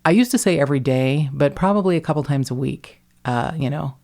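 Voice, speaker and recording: A woman from Kentucky with a deep voice, hosting a podcast in casual chat, wry and quick to swear or laugh. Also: a little mellow. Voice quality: deep voice, often taken for a man on the phone. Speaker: podcast host.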